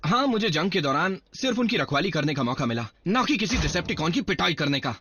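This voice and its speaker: A man voicing a cocky, eager young robot soldier, brash and earnest, in an animated series dub. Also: on the high side, fast, background noise. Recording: dull lo-fi dub under loud music.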